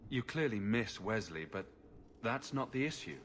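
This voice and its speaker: An actor playing an earnest, consoling hero in a fantasy video game, speaking in a gentle, questioning manner. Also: male voice, lowish voice, animated, moderate pace, a little hiss or hum. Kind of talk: video game cutscene acting. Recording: music behind the voice.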